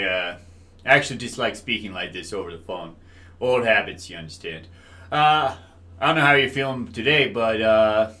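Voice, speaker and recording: An actor playing a genial middle-aged military consultant in an audio drama, speaking politely and haltingly with many hesitations. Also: male voice, mid-range voice, bright, forceful tone, lightly acted. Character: genial older military engineering consultant, hesitant, empty-nest husband.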